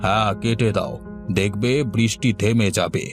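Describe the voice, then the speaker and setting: A man's voice: on the low side, steady tone, moderate pace, soft background music, lightly acted. A man voicing a glib village headman in a cartoon, giving breezy, matter-of-fact advice. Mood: breezy advising. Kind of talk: cartoon dialogue.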